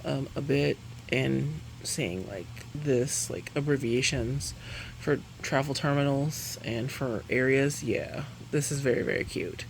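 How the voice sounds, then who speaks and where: A woman's voice: rumbling low, slow pace, vocal fry, a little hiss or hum, rough recording. A woman chatting casually through a makeup review, rambling and correcting herself, laughing between sentences. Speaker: beauty vlogger.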